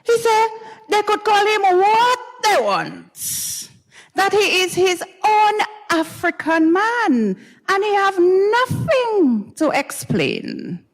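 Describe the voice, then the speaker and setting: A woman with a Grenadian accent giving a dramatized story reading, expressive and gossipy, with musing hums and pauses. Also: extremely high pitch. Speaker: dramatic reader. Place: university recital hall stage. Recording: reverberant recital hall.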